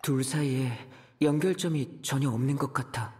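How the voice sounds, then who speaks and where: An actor voicing a thoughtful young traveler in a game, reasoning through a mystery in a measured, pensive way. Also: male voice, medium pitch, a little mellow, lightly acted. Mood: pensive, puzzled. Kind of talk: scripted game dialogue.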